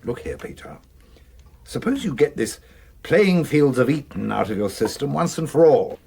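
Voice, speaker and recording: An actor playing an earnest, blunt English police detective in a radio drama, plain-spoken, dutiful and morally firm. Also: male voice, medium pitch, sing-song, normal speed, creaky, dark tone, husky, full character voice. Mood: stern, exasperated.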